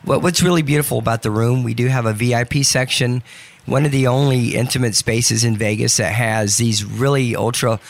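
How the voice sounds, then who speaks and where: Gravelly man, voice low from allergies. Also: fairly even intonation, fairly quick. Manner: chatty and enthusiastic. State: allergy-lowered voice. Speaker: guest performer. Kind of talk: casual radio interview. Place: radio studio.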